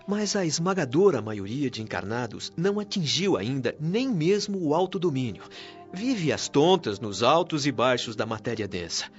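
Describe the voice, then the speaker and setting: Man acting as an earnest, animated Brazilian spirit mentor. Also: medium pitch, moderate pace, close to an everyday voice. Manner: didactic, earnest, formal. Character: wise, patient male spirit mentor of a heavenly colony. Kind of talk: radio drama acting. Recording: dull lo-fi audio with music underneath.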